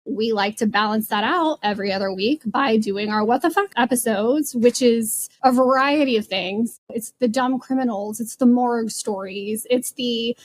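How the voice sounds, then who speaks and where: A woman's voice: a little high, normal speed, few pauses. A woman in her late thirties, a guest podcaster explaining things in a casual, conversational way. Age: late 30s.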